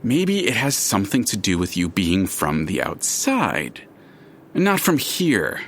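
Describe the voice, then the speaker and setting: A man playing a chatty, curious, all-knowing narrator in an audio drama, with a dramatic and deliberate storytelling manner. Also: low voice, huge pitch swings, fairly bright tone, slight background noise, full character voice. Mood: astonished. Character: chatty omniscient male narrator, theatrical and curious.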